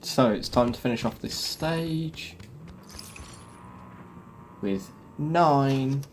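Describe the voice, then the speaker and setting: A man's voice: medium pitch, lively intonation, extremely slow. A man presenting a video game walkthrough, explaining things step by step to viewers in a chatty way. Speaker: gaming YouTuber presenting a quest guide. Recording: game sound clearly behind voice. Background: video game ambience and vehicle noise.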